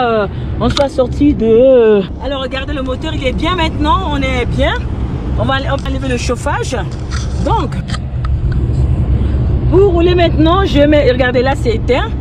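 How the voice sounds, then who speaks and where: A young woman with a French accent explaining step by step how to drive a camper van, repeating herself as she talks. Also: high-pitched. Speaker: travel vlogger. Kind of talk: casual driving tutorial. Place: inside a stopped then moving camper van, windows open. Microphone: action camera on the dashboard. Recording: loud engine and road noise.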